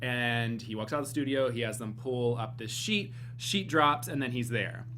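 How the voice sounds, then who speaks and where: A man's voice: medium pitch, normal speed, bright, forceful tone, a little hiss or hum. A man co-hosting a TV recap show, retelling acts at length with lots of fillers. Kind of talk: casual panel chat.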